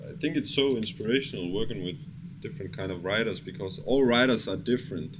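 A man's voice: on the low side, fast. A man from Denmark with a Danish accent, talking casually and earnestly as a music producer in an interview, at discursive length with some swearing. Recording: clear background ambient noise.

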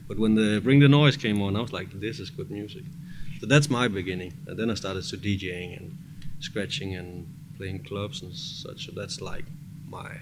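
A man's voice: low-pitched, steady tone, normal speed. A man from Denmark with a Danish accent, talking casually as a music producer being interviewed. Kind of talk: casual chat. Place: cramped studio. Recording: noisy studio background.

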